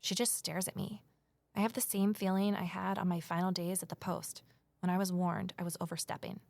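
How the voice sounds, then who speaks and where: An anxious, earnest young woman investigating small-town trouble in an audio drama. Her voice has a frequent fry and sits very dry, and she confides with intensity. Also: fairly low pitch, flat delivery, fairly quick, darkish tone, no room sound. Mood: anxious, hurt. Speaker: lead character and first-person narrator. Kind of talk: full-cast audio drama acting and narration.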